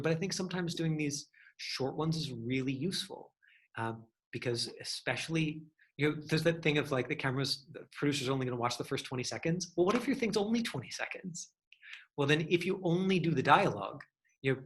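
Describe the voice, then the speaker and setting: A man's voice: mid-range voice, animated, brisk, a bit bright. A man working as an acting coach, teaching and answering questions in a rambling, encouraging, conversational way. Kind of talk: casual online acting lesson with question and answer.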